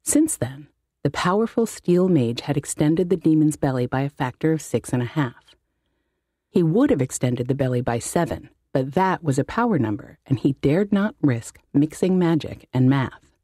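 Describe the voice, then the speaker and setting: A woman's voice: deep voice, animated, normal speed, warm tone, no echo, studio quality. A woman working as an audiobook narrator, reading a scripted story.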